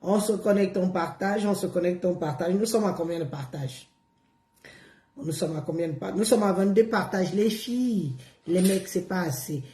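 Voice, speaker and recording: A hoarse woman chatting on a live stream, repeating herself and coaxing viewers along with drawn-out words. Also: on the low side, warm tone, reverberant. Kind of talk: casual live stream chat.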